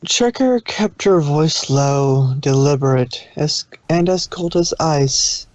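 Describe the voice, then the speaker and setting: A man's voice: fairly high pitch, slow, darkish tone, no room sound. A man reading scripted fan fiction as the narrator of a dramatic story.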